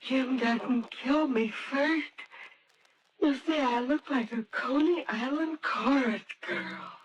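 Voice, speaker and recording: An actress playing an anxious, sweetly hesitant young wife in an old-time radio drama. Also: female voice, sits high, slow pace. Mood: anxious, pleading. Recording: dull, noisy old radio recording.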